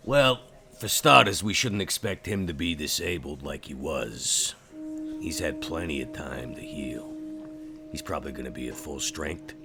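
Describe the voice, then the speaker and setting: Man putting on gruff, very deep gravelly vampire. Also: lively intonation, unhurried, music in the background, exaggerated voice. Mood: wry, guarded. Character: gruff vengeful male vampire named Max.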